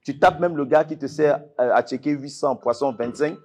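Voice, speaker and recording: A man's voice: medium pitch, normal speed, darkish tone. Emphatic Ivorian man hyping a crowd. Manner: emphatic showman who repeats phrases. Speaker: master of ceremonies at a fight event weigh-in. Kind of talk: hyping up a live audience.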